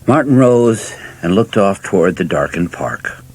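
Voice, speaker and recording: Male story narrator: very low register, unhurried, husky, reflective dramatic read. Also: expressive, darkish tone. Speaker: audiobook narrator.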